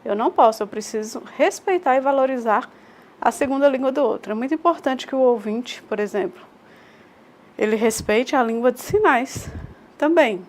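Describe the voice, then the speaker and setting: A Brazilian woman with a fairly high voice teaches a recorded online class. She builds her points through rhetorical questions and repetition, pausing to check with her students. Her delivery is patient and explanatory. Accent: Brazilian Portuguese.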